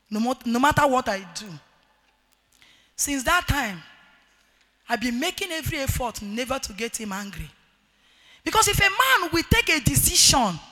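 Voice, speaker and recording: A middle-aged woman with a Nigerian accent and a loud, forceful voice, preaching a marriage sermon emphatically and repeating key phrases. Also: high voice. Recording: echoey hall with long reverb.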